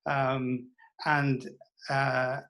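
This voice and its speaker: A man's voice: medium pitch, completely flat delivery, extremely slow, a bit bright, poor audio. A British man in his sixties, reminiscing as a guest in an interview. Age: 60s. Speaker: interview guest, former television producer and journalist.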